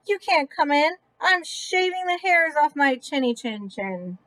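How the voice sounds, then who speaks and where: Woman doing a rude, high-pitched storybook pig. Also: sing-song, measured pace, exaggerated voice. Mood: defiant. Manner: curt, yelling retorts. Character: rude, irritable male pig refusing a visitor. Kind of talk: children's picture book read-aloud.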